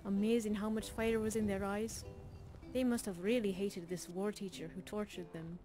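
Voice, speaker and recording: A woman's voice: medium pitch, level tone, normal speed, over music, close to an everyday voice. A woman playing a tough, blunt, no-nonsense blacksmith in a fantasy video game. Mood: matter-of-fact, wry. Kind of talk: video game character dialogue.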